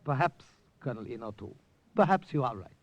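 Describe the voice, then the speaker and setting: A man's voice: medium pitch, expressive, fairly quick, no echo. A man playing a polite, composed Siamese army major in a 1950s radio drama, formal and courteous.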